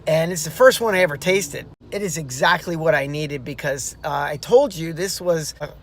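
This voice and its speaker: A man's voice: a little high, normal speed, a little hiss or hum. A man casually recapping a marathon for his vlog, chatty and rambling, with fillers and restarts. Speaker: runner vlogging a marathon. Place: outdoors and in a car.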